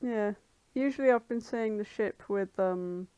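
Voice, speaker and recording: A woman's voice: mid-range voice, a little slow, dark tone, clear, resonant tone, no echo. British woman chatting casually, close dry sound. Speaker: podcast co-host. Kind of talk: casual chat about fan fiction.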